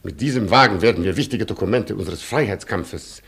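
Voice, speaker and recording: An actor in a German radio play playing a haughty, stubborn cavalry colonel with a husky voice and a pompous, clipped, condescending delivery. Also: male voice, lowish voice, lively intonation, fairly quick, fairly bright tone, exaggerated voice. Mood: imperious.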